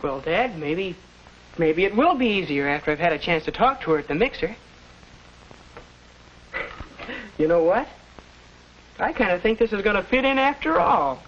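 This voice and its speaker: A shy, earnest American high school boy in an old educational film. He speaks haltingly and unsurely, with intonation that rises and falls a lot. The sound is dull, like a 1940s soundtrack. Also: animated, slight background noise. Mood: unsure, bashful. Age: teenager. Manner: hesitant, halting.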